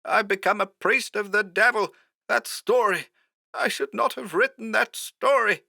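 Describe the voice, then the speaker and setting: A man voicing a frantic, obsessed horror writer who talks high-pitched, strained and hoarse. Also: unhurried, pushed and bright, no echo, studio quality, heavily acted. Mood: terrified. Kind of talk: audiobook character voice.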